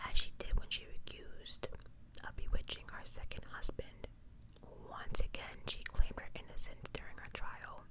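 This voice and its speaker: An ASMR host telling true-crime stories entirely in a soft, unvoiced whisper, with casual, slangy asides. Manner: casual, slangy asides between read passages.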